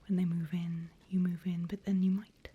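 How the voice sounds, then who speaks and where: A woman voicing a seasoned, wry demon instructor in a slow, breathy ASMR whisper, guiding a trainee with dry humour. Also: fairly low pitch, level tone, narrow, tinny audio. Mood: hushed, instructive. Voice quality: breathy, mostly unvoiced whisper. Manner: slow, deliberate, dryly humorous.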